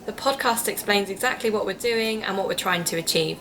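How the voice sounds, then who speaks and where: A woman's voice: medium pitch, brisk, a bit bright, a little hiss or hum, close to an everyday voice, rough recording. A British woman playing a polite, persuasive amateur podcast investigator in an audio drama, courteous and explanatory.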